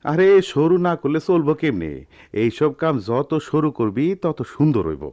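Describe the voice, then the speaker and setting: A middle-aged master goldsmith in a radio play, humble and gentle. His Dhaka-area Bangladeshi speech is earnest and advising, with a lively, wide-moving pitch. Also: normal speed. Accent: Bangladeshi, Dhaka-area dialect. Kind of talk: radio drama acting.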